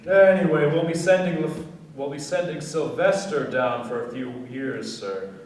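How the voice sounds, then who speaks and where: An actor playing a dutiful, deferential police sergeant in a radio crime drama. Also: male voice, on the high side, moderate pace, natural voice. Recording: very echoey, distant, faint noise.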